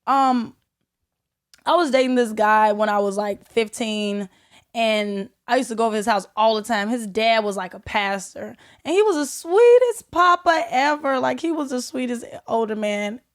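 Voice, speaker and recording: A young adult woman, a podcast guest talking in relaxed, chatty speech with fillers and the odd curse. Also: fairly high pitch, moderate pace. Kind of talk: casual chat.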